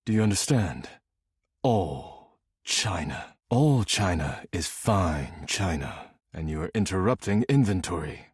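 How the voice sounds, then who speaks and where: Game role: proud, duty-bound spirit of the fine china. Low, formal, deadpan, very dry close recording. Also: male voice, slow, slightly rough, no echo, full character voice. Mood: grave, dismissive. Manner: formal, clipped, deadpan, disdainful. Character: stern, proud, formal male dishware spirit devoted to duty. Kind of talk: scripted video game character acting.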